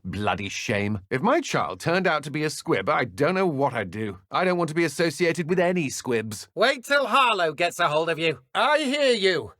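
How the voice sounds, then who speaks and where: A cruel British dark wizard from a fantasy video game. His voice sits a little high and moves with lively, haughty inflection. The sound is dry and close, like studio game dialogue. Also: normal speed, hardly any pauses, no echo. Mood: suspicious, taunting. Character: callous male dark wizard henchman, arrogant and cruel.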